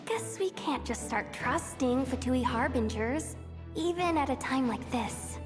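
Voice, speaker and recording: An actress voicing a small, excitable, candid girlish companion in a video game, chatty and expressive. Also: high voice, a little slow. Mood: wary, grumbling. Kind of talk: video game character acting. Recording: background music under the voice.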